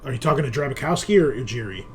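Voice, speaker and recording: A man working as game master, improvising narration for a tabletop game in halting, piecemeal descriptions. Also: mid-range voice, animated. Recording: clear background noise with ambience.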